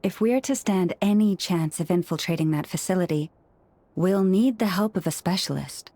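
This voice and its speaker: A woman playing a gentle, solemn young leader of a sacred order in a video game, speaking in a measured, formal and earnest way. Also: on the low side, no room sound. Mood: grave, concerned. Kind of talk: video game character acting.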